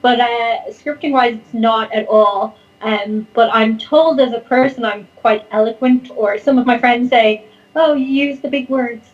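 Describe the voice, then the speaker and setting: A woman with a somewhat high-pitched voice talks off the cuff as a guest in a casual conversation. She rambles in a chatty way and pokes fun at herself. The recording sounds a little dull and lo-fi. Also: unhurried. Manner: chatty, rambling, self-deprecating humour. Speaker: interview guest, performer and online content creator. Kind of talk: unscripted casual chat.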